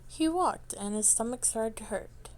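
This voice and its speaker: A woman with a fairly high voice reads fiction aloud. She is an amateur narrator, and her delivery is halting, with stumbles and repeated words as she works through the text. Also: animated, darkish tone. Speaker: amateur fan fiction reader. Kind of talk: reading a story aloud.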